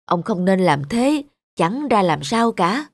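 A woman's voice: medium pitch, very animated, takes it slowly, no echo, studio quality, full character voice. A woman voicing a rich landlord's sensible, disapproving wife in a cartoon folk tale, chiding and reasoning.

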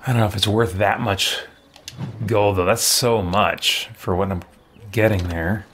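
A man in his thirties giving casual, unhurried game commentary, musing aloud and often hesitating. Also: on the low side, steady tone, fairly bright tone, slight background noise. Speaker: streamer playing a role-playing game. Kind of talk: casual let's play commentary. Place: small indoor room with shelves, at a desk.

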